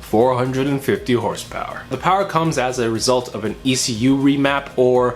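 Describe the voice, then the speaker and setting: Young American male host, casual and upbeat, quick jokes between news items. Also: mid-range voice, faint music behind. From United States. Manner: upbeat, jokey asides. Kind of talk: casual weekly car news roundup.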